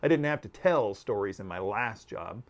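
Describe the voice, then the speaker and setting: A man's voice: on the low side, animated, normal speed, voice pushed down. A man voicing a patient female worker honeybee, earnest and mildly defensive as she explains herself.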